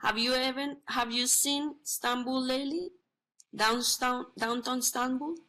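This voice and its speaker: A woman playing God as a blasé, boastful bathhouse attendant in a play reading, speaking in halting, self-satisfied phrases. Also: sits high, steady tone, sharp tone, rough recording. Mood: matter-of-fact.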